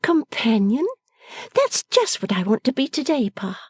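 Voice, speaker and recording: A woman's voice: high voice, sing-song, unhurried, breathy edge, no room sound, clean studio sound, voice pushed up, exaggerated voice. A woman reading the part of a playful, affectionate young woman who teases and orders her father about. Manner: teasing, commanding, merry.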